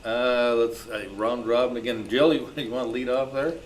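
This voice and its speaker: A man's voice: medium pitch, fairly even intonation, normal speed, slight background noise. A man informally moderating a group discussion, passing questions around.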